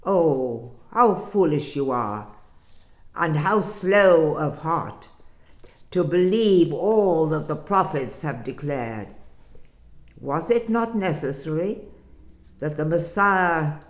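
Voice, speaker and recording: A woman voicing a calm, gently reproving male holy teacher in a scripture reading, measured and hushed. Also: sits low, reverberant.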